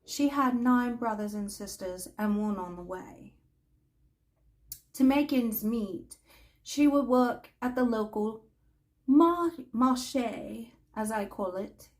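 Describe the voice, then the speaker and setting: Woman playing a slow, imperious magical storyteller woman. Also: on the high side, lively intonation. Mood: wistful, storytelling. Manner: theatrical, haughty, deliberate dramatic pauses. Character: imperious, mischievous older female magical storyteller in a fantasy world. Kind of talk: in-character fantasy storytelling roleplay.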